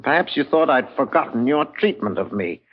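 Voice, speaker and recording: A man's voice: mid-range voice, lively intonation, a little fast, natural voice. An actor playing a spiteful villainous sheriff in an old-time radio drama, sneering and drawing out his taunts with relish. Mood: gloating menace. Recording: tinny, band-limited old radio recording.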